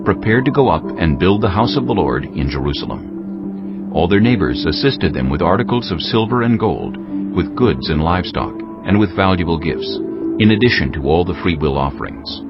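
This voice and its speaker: A man narrating scripture from a script in a measured, formal manner, as part of a dramatized audio reading. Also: sits low, very animated, lo-fi sound. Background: music.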